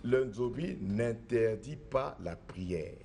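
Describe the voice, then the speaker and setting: Man, middle-aged, lowish voice, assertive, repeats himself, from congo. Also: animated, slow, warm tone. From Republic of the Congo. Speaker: traditional spiritual master. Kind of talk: interview answers.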